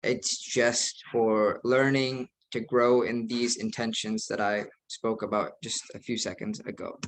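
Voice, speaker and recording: Earnest young imam, flat even delivery. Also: male voice, on the low side, a little slow, low-quality recording. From United States. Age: young adult. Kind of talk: online presentation.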